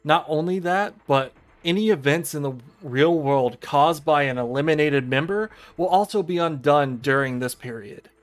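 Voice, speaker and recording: A man's voice: a little high, normal speed, hardly any pauses, close to an everyday voice. A man voicing a prim, condescending female arbiter of a deadly game, reading a visual novel aloud in formal, theatrical tones. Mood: stern, proclaiming. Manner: theatrical, formal, mock-refined. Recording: music behind the voice.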